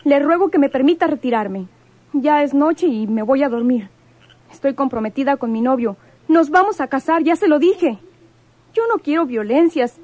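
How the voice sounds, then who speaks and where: A woman's voice: high voice, lively intonation, moderate pace, a little mellow, no room sound, lo-fi sound. A woman playing a modest, earnest young village woman, wary but firm, in a radio soap opera. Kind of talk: Spanish-language radio soap opera acting.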